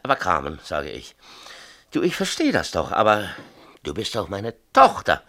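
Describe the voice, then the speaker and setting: A troubled, tipsy father in a 1970s radio drama. His low voice is bright and forceful, swinging widely as he confesses and rants. He keeps pressing his listener to agree. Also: wildly swooping pitch, moderate pace, full character voice. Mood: remorseful, agitated. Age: middle-aged. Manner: emphatic, agitated confessional ranting, seeking agreement. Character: tipsy, aggrieved small-town mayor and strict, troubled father. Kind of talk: 1970s East German radio drama acting.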